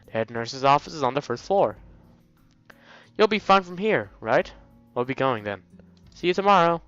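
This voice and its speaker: A man's voice: fairly high pitch, lively intonation, moderate pace, fairly bright tone, dry recording, dull audio, faint music behind. A man playing a helpful, matter-of-fact schoolgirl who explains things to a newcomer in a visual novel.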